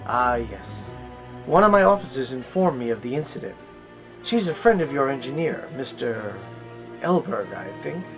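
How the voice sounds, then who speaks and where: A man's voice: mid-range voice, very animated, normal speed. A man playing a polite, probing starship captain in a sci-fi audio drama. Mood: polite, thoughtful. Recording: music bed under the voice.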